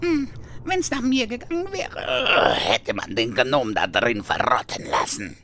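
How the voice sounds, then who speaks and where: An excitable, grudge-bearing goblin in a fantasy parody audio drama. The voice is creaky and slow, swinging wildly up and down with whiny melodrama. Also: female voice, a bass voice, slight background noise, exaggerated voice. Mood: bitter, wailing. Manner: melodramatic, hesitant.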